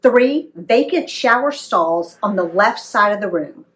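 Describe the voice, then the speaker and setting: Very expressive, unhurried woman storyteller. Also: mid-range voice. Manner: dramatic, animated delivery with emphatic dialogue. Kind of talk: expressive read-aloud story narration for young listeners.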